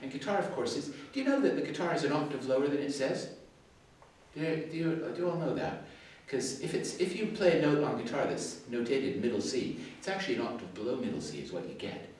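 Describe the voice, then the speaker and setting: A man's voice: on the high side, very animated, brisk, a little mellow, a little hiss or hum. An older man with a Suffolk English accent tinged by Canada, giving chatty, digressive feedback as a competition adjudicator in a school music hall. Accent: Suffolk English with Canadian traces. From England, early life in Canada. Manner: chatty and digressive, self-deprecating asides. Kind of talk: spoken feedback to performers. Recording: very echoey hall, distant mic.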